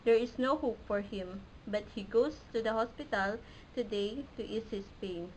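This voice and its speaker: A woman's voice: a little high, normal speed, a little mellow, slight background noise, natural voice, poor audio. A woman playing a grave, matter-of-fact doctor in an amateur classroom puppet play.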